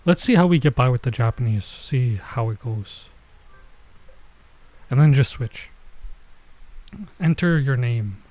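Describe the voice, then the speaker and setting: A man's voice: medium pitch, lively intonation, a little slow, pauses often, warm tone, no room sound. A man giving casual gameplay stream commentary, muttering to himself in mildly exasperated slang. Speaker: streamer, VTuber playing a visual novel.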